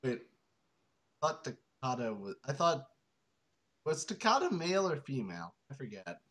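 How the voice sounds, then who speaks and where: A man's voice: mid-range voice, very animated, normal speed, a bit bright. A man co-hosting a comedy reading, chiming in with hesitant, rambling interruptions. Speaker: co-host. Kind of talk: comedic banter.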